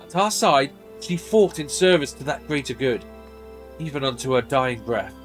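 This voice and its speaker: A man reading as a stoic, dutiful woman knight commander from a fantasy game, formal and measured. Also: medium pitch, animated, soft background music, pitched below the performer's own voice, close to an everyday voice. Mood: solemn, reflective.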